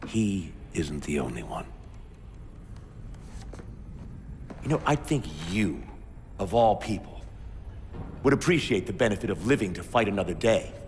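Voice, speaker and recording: An actor playing a stern, prickly starship captain in a video game, brusque and commanding. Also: male voice, lowish voice, very animated, normal speed, a little hiss or hum. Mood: condescending. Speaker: military commander. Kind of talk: video game cutscene acting. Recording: long reverb, clear music behind.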